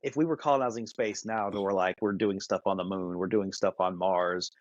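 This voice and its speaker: A man co-hosting a podcast, chatting casually and thinking out loud in a conversational way. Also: low-pitched, steady tone, a little fast, no room sound, lo-fi sound. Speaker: podcast co-host. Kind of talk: casual discussion.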